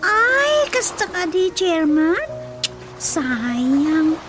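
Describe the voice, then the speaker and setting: A woman's voice: very high-pitched, very animated, very slow, exaggerated voice. A woman with an Ilocano Filipino accent playing a polite, coy, mysterious night visitor in a radio drama. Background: music.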